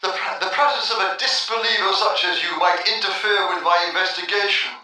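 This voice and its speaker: An actor playing a grave, pompous ghost-hunting doctor with formal, halting speech in a 1940s radio drama. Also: male voice, sits high, steady tone, bright, forceful tone, recorded from a distance. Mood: shaken, foreboding. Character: grave, pompous male ghost hunter doctor, sensitive and foreboding. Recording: echoing 1940s radio recording, walkie-talkie from afar.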